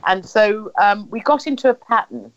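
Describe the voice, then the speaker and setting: A woman's voice: mid-range voice, animated, moderate pace. A woman with an English accent telling personal family stories in conversation, acting out remembered dialogue as she goes. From England. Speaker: interviewee recounting family history. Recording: slightly dull, lo-fi sound.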